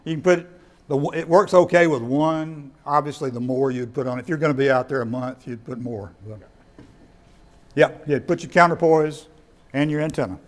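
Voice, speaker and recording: Higher-voiced man giving an informal presentation. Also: normal speed. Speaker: presenter of radio kits at a club meeting. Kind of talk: informal presentation with audience questions. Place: indoor meeting room.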